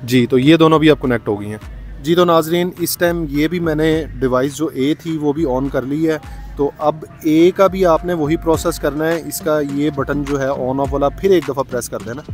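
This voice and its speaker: A man, a vlogger demonstrating a product step by step in a tutorial style. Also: fairly high pitch.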